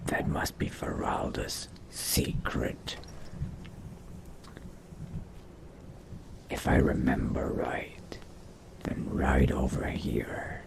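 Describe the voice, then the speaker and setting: A man playing a predatory vampire, extremely deep, heavy gravelly fry, halting and brooding, game roleplay monologue. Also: completely flat delivery, exaggerated voice. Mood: sated, scheming. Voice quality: low gravelly breathy whisper. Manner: slow, halting, musing to himself. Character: hungry brooding male vampire mage, cold and predatory.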